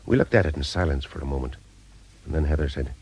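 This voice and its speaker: An actor playing a wry, cool private eye in a radio detective drama, speaking in a dry, understated way. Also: male voice, extremely low pitch, fast talker, darkish tone, husky, exaggerated voice. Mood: measured, suspenseful. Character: wry, cool private eye telling his own story. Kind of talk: radio detective drama with first-person narration.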